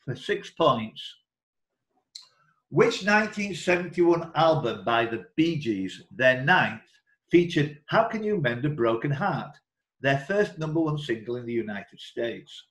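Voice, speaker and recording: A man's voice: mid-range voice, sing-song, measured pace, a bit bright. Male quizmaster, mid-range voice, reading questions over an online call with noticeable room echo. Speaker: quiz host and question reader. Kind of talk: live quiz hosting, reading questions aloud. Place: indoor room, online video group call. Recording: roomy, echoing online call audio.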